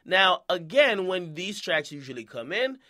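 A man's voice: high-pitched, big pitch swings, a little slow, bright, forceful tone. A man from Jamaica hosting casual commentary, who keeps checking in with "okay?" and "right?" and acts out quoted voices. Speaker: commentary host.

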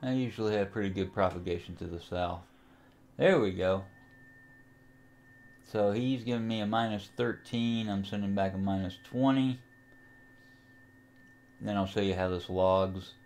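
A man with a fairly low voice talks through a software tutorial in a casual way. He works without a script, pausing and hesitating as he thinks aloud about each step. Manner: hesitant, unscripted, thinking aloud while operating. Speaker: amateur radio enthusiast, tutorial host.

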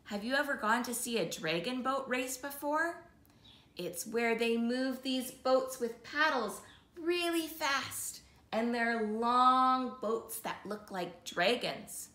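A woman in her thirties hosting an interactive storytime, reading a picture book expressively and clearly for young children. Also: on the high side, slow, a bit bright. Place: small living room, seated on a sofa. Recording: roomy indoor sound.

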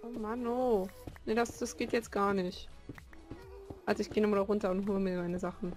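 A woman's voice: medium pitch, flat delivery, normal speed, darkish tone. A woman chatting casually as she plays a video game. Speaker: gaming video player. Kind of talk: casual gameplay chat. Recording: music faintly behind the voice. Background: faint music.

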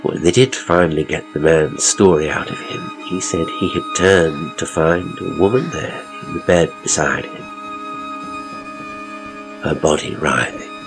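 A man playing a pompous, theatrical ghost tour guide, telling gruesome tales with suspenseful, drawn-out phrasing in a horror audio story. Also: a bass voice, vocal fry, over music, full character voice, low-quality recording. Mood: suspenseful, grim.

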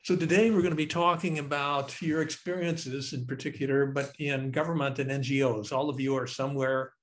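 An older man hosting an online alumni panel from his home study, in a relaxed, rambling way. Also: medium pitch, a little fast. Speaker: panel moderator. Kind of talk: webinar moderating. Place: home study, on a video call. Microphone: video call.

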